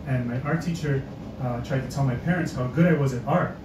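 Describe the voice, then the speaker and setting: A man's voice: mid-range voice, fairly quick, noisy background. A man from the area doing a stand-up comedy set, wry and self-mocking, with lots of hesitant fillers. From Boston area, USA. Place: comedy club stage. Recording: echoey club audio from a distance.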